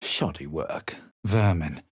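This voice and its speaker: A man voicing a self-assured adventurer hero in a fantasy video game, with a husky voice and a measured, slightly superior, dramatic delivery. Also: very deep voice, no echo, clean studio sound, exaggerated voice. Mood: wary, observant. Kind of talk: video game voice acting, barks and past-tense narration.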